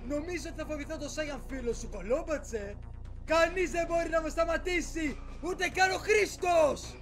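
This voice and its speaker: A man's voice: extremely high pitch, music in the background. A man voicing a hot-tempered, boastful mad scientist villain in a cartoon parody dub, ranting and insulting. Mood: scornful, boastful.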